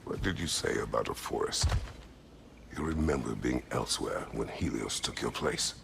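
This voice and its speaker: A man's voice: very deep voice, normal speed, creaky, fairly bright tone, slight background noise, heavily acted. An actor playing a stern, battle-worn warrior in a video game, terse and solemn in his formal speech. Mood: grave, resolute. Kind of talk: video game dramatic acting.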